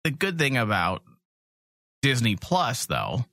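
A male podcast co-host with a mid-pitched voice talks casually about fandom. He is emphatic and jokey, and the recording is close and dry. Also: wildly swooping pitch, slow pace, pushed and bright, no room sound. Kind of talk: casual fan podcast chat.

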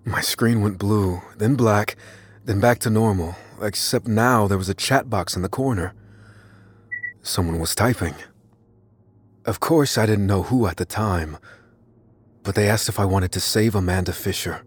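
An actor playing an unassuming, secretive young computer whiz, giving a guarded, deliberate confession in an audio drama. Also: male voice, on the low side, husky. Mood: tense, measured. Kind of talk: dramatic first-person audio fiction narration.

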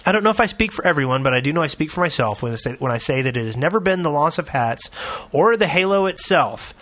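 Bright, higher-pitched male podcast host. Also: animated, fast. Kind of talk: reading listener email aloud, casual comment.

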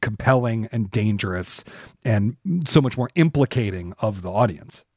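A man's voice: on the low side, moderate pace, dry recording, studio quality. A man hosting a podcast in casual conversation, talking in an emphatic, explanatory way. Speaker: podcast host and writer.

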